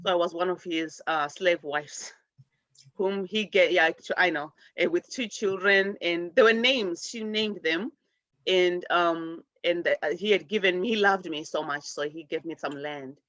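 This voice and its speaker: A woman's voice: on the low side, a little slow, fairly bright tone, dry recording, dull audio. A woman host from Zimbabwe, rambling loosely in a spiritual chat. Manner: rambling, laughs through her words.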